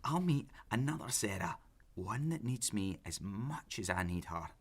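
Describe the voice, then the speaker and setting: Husky, creaky, obsessively lovelorn man. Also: fairly low pitch, very animated, measured pace, full character voice. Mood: smitten, insistent. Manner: stammering, pleading, intense. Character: obsessive lovelorn man, clingy, pleading, creepily insistent. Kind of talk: naturalistic audio drama acting.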